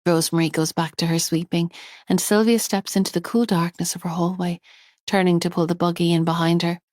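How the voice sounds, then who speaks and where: A woman narrating a thriller audiobook in a measured, tense storytelling manner. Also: fairly low pitch, steady tone, darkish tone, dry recording, studio quality.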